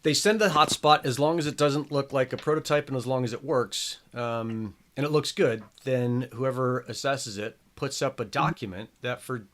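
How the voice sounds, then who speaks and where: Male host, casual podcast chat, rambling, chatty, filler-heavy, mid-pitched. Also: moderate pace, few pauses, fairly bright tone. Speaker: show host.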